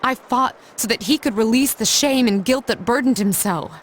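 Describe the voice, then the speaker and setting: A woman's voice: fairly high pitch, normal speed, a little hiss or hum, close to an everyday voice. A woman voicing a proud, reserved young noblewoman in an anime game, speaking formally and with measure. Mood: despairing. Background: music.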